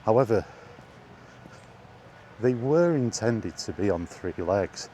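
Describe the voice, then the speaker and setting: A man chatting casually on his own, enthusiastic but halting, with frequent ums and restarts. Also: lowish voice, lively intonation, dark tone, a little hiss or hum. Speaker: vlogger. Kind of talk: casual rambling monologue. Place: outdoors, walking.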